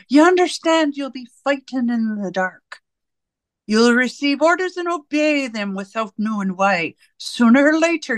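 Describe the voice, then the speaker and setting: Actress doing stern elite party woman, radio play, measured and deliberate, very lively pitch, dry close sound. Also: dry recording. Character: composed, commanding woman of a secretive ruling party elite.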